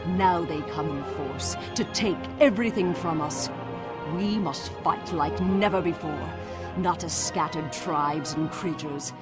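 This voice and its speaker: Slow, commanding ancient huntress queen. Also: low-pitched, over loud music. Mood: bitter, defiant. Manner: slow, solemn, commanding oratory. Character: ancient huntress queen, more than animal, regal and vengeful. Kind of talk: dramatic game cutscene monologue.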